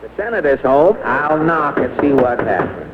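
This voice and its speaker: A man's voice: mid-range voice, animated, moderate pace, lightly acted. Man as wry straight man, tinny old radio. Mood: wry, exasperated. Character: wry, exasperated straight man to a blowhard senator. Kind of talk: 1940s radio comedy acting. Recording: tinny, very noisy 1940s radio recording.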